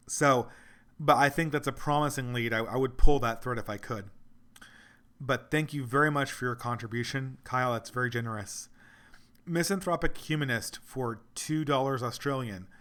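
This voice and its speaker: A man's voice: medium pitch, moderate pace, fairly bright tone. A man hosting a casual livestream Q&A, losing his voice, rambling earnestly and thinking aloud as he answers viewers. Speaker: livestream host reading donations.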